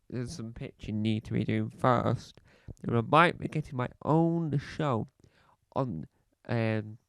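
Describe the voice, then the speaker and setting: A man playing a self-important male celebrity podcaster, rambling and halting with a slight cough, speaking close to a dry mic. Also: medium pitch, creaky, slight background noise. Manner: rambling, halting, fond of repeating phrases. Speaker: comedy podcast host character. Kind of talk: scripted comedy monologue.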